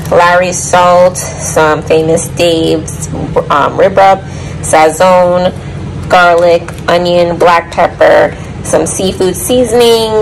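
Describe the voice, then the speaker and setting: A young woman talks through her cooking in an informal, conversational way. Her voice sits a little low, and the phone recording from a home kitchen carries background noise. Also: creaky, low-quality recording. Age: young adult. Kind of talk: casual cooking vlog chat and walkthrough. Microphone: phone at arm's length.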